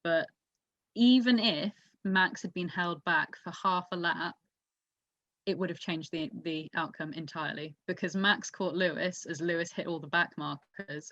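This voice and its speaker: A woman's voice: on the low side, steady tone, unhurried, fairly bright tone, dry recording. A woman hosting a casual motorsport discussion, talking things through in an analytical, explanatory way. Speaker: podcast host. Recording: dull, muffled lo-fi audio.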